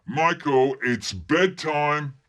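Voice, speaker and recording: A man voicing an exasperated, bossy British mother, scolding and nagging loudly and emphatically in a comic story. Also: mid-range voice, sing-song, slow pace, highs cut off, voice pushed up, full character voice. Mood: insistent, nagging. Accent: British English.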